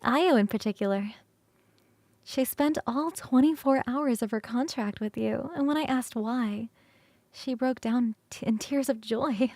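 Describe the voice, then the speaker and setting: A woman voicing an ancient succubus queen for ASMR roleplay, tender and teasing, speaking slowly and intimately, often near a whisper. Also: a little high, a little mellow, dry recording.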